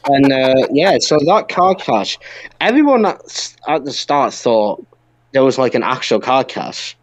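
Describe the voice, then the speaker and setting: Animated man in casual podcast chat. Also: mid-range voice, measured pace, rough recording. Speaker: podcast co-host. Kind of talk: casual chat about pro wrestling.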